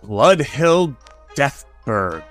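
An actor playing a menacing, dim-witted raider boss in a comic radio skit. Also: male voice, mid-range voice, sing-song, extremely slow, faint music behind. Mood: gloating.

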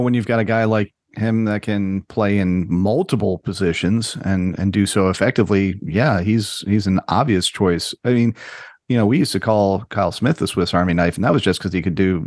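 A man's voice: lowish voice, no echo, studio quality. A man co-hosting a podcast, talking in an easygoing, joking way. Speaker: podcast co-host. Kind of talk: casual podcast chat.

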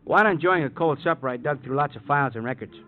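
An actor playing a chatty, good-natured married police partner in a 1950s radio crime drama, folksy and rambling. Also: male voice, a little high, quick pace, natural voice. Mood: matter-of-fact. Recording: tinny old radio with faint noise.

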